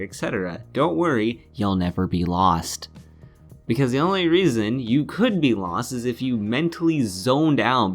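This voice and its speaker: A man delivering scripted game review commentary in a scornful, sardonic manner, swearing freely. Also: medium pitch.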